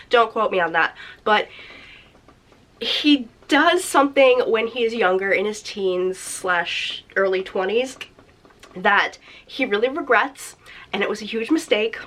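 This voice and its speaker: A young woman vlogger, slightly raspy from the flu, chatting casually and rambling as she recommends books. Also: medium pitch, fairly bright tone. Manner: bubbly, rambling, self-correcting. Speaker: book vlogger. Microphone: desk mic or camera, seated in chair.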